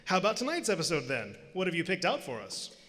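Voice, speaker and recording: A man playing a hearty, theatrical old-time radio announcer and pitchman, polished, showy and emphatic. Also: huge pitch swings, fast, pushed and bright, heavily acted. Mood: chatty, upbeat. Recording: live theater, long hall reverb.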